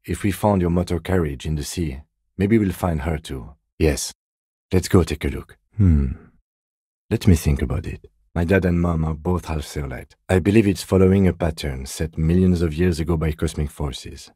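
A reserved police detective for a game, real low and mellow, dry deadpan delivery. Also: male voice, breathy edge, no room sound. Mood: quietly consoling. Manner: measured, restrained, precise, deadpan. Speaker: video game voice actor. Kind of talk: role-playing game dialogue.

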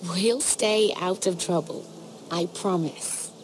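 A woman's voice: lowish voice, slow, a little hiss or hum. A woman playing a guarded young noblewoman in a dramatic video game, playful but easily wary. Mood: wary, reluctant.

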